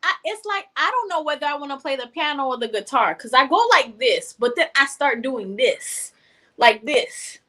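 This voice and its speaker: Young woman, high-pitched, bright forceful tone, playful, slangy, casual podcast host. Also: animated, normal speed. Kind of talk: casual chat.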